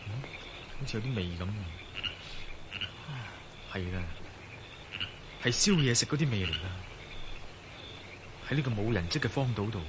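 An actor playing a resolute, self-assured ex-soldier adventurer who thinks aloud in a radio drama. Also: male voice, fairly low pitch, lively intonation, normal speed, long pauses, natural voice. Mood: searching, watchful. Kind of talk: radio drama dialogue and spoken-thought monologue. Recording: radio drama with noisy ambience.

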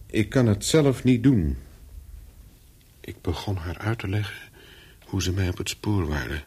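An actor playing a calculating, persuasive young spy in a radio play, with terse, controlled speech and a husky voice. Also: male voice, low voice, expressive, a little slow, darkish tone, full character voice. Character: calculating young male spy, persuasive, looking back as narrator. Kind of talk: radio play dialogue and first-person narration.

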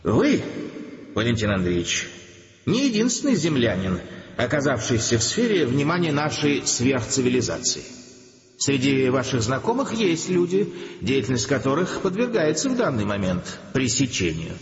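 A man's voice: medium pitch, big pitch swings, normal speed, natural voice. Man acting a pompous alien envoy, swooping intonation, stilted officialese, radio drama, long hall reverb. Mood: ominous, formal. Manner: officious, formal, stilted bureaucratic speech. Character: pompous male emissary of an alien supercivilization. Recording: echoey hall, long reverb, dull sound.

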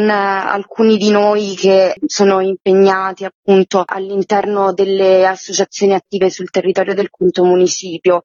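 A woman giving articulate, measured, formally worded explanations as an interviewed organiser. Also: medium pitch, darkish tone, dry recording. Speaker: festival organiser being interviewed. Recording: dry but muffled lo-fi audio.

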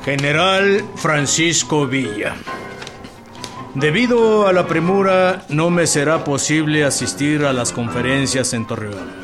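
Man acting a higher-pitched, courteous army general over music. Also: lightly acted. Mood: cautious, formal. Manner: formal, measured. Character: courteous, cautious male army general answering by letter. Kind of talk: radio drama acting.

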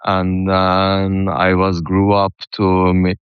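A man from Turkey with a Turkish accent, answering casually and haltingly in non-native English as a DJ guest in a radio interview. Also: sits low, completely flat delivery, clean tone, dry recording, dull audio. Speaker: guest DJ and music producer.

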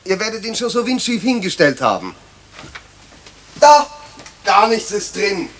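A man's voice: high-pitched, very animated, measured pace, a bit bright, slight background noise, exaggerated voice. An actor playing a stern, sarcastic schoolteacher who scolds his class in a 1970s children's radio play. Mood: exasperated. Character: stern, scornful male schoolteacher, irritated and strict.

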